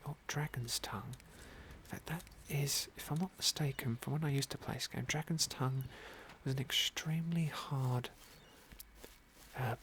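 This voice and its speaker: A British man narrating an ASMR game playthrough in a gentle, polite, understated manner. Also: medium pitch, gravelly, a little hiss or hum. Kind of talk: whispered ASMR roleplay and commentary.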